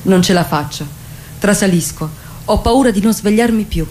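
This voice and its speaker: Radio monologue: actress as a weary, haunted insomniac woman, sombre and mellow, slight background noise. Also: medium pitch, normal speed, close to an everyday voice, low-quality recording. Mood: weary, fearful. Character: weary, sleepless woman haunted by fear and memory. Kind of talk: literary monologue reading for radio.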